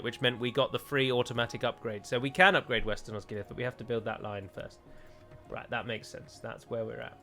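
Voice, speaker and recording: A man's voice: medium pitch, pushed and bright. Chatty man, self-mocking gameplay commentary. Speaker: let's play host.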